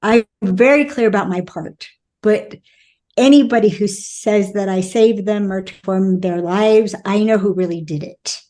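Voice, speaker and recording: An American woman speaking as a guest relationship expert, emphatic and quick to laugh. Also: mid-range voice, warm tone. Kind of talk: casual interview talk.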